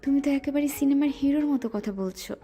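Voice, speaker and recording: A woman playing a coy, high-voiced young wife. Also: racing, warm tone, close to an everyday voice. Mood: playful. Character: shy, playful young wife. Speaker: voice actress. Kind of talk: audio drama acting. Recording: music bed underneath.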